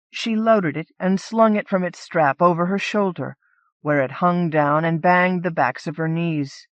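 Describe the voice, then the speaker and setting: A woman's voice: lowish voice, dry recording. A woman reading literary fantasy fiction aloud as a scripted audiobook narration. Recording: dull lo-fi cassette audiobook transfer.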